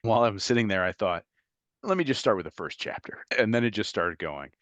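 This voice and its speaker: A middle-aged man with a very low, deep voice. He speaks reflectively in a relaxed interview and chuckles through his stories. The sound is close and dry, with no room around it. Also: a little fast, dry recording, muffled recording. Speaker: guest, former FBI agent. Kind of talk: conversational interview.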